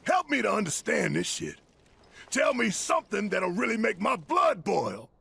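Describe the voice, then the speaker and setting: An actor playing a gruff, hot-tempered rebel fighter in a video game, gravelly, husky and blunt. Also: male voice, high voice, wildly swooping pitch, normal speed, bright, forceful tone, heavily acted. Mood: angry, rousing. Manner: blunt, emphatic. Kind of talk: video game cutscene acting.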